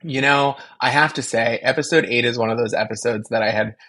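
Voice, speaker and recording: A man's voice: medium pitch, fairly quick, pushed and bright. A man co-hosting a podcast in casual chat, with a jokey, emphatic, campy manner. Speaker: podcast co-host.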